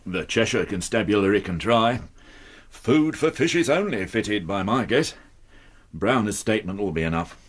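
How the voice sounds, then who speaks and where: An actor playing a gruff, sceptical English police inspector, dry and world-weary, in a radio drama. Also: male voice, on the low side, lively intonation, moderate pace.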